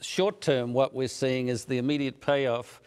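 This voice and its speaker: A middle-aged man hosting a studio talk show, speaking in a measured way with frequent chuckles and hesitant uhs. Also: medium pitch, flat delivery, darkish tone, clear, resonant tone. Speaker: host, magazine editor.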